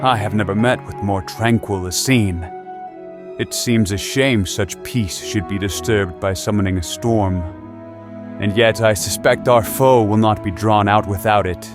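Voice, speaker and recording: An actor playing a valiant, courteous Arthurian knight in an audio drama, speaking in solemn, archaic, resolute phrases. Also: male voice, lowish voice, unhurried, natural voice. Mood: contemplative. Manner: archaic, solemn, declamatory speech. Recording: orchestral music behind the voice. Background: orchestral score, nature sounds.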